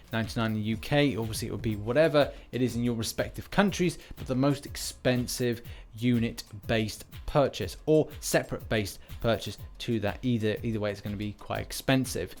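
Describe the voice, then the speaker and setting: Man, gaming news host, music behind. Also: medium pitch. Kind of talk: casual mobile game news commentary.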